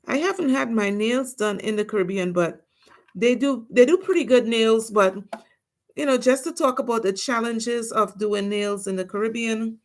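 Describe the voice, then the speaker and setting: A woman's voice: on the high side, moderate pace. A woman with a Trinidadian accent chatting casually to her viewers on a livestream while she does her nails. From Trinidad and Tobago. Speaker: nail technician vlogger.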